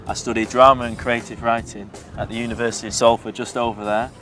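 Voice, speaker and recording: A man's voice: medium pitch, level tone, fast talker, far from the mic, over music. A young man, a student radio presenter talking casually in an easygoing, rambling way with lots of fillers. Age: young adult. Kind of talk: casual interview talk. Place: outdoors in a city.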